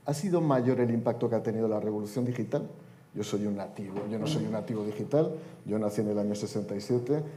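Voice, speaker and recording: A man in his fifties holds forth at a conference panel, mixing speech-like delivery with dry jokes. His voice is mellow and relaxed, heard through a table mic with a faint background hum. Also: on the low side. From Spain. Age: 50s. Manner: wry, joking asides in an oratorical delivery. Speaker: panel speaker, politician. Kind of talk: panel debate talk.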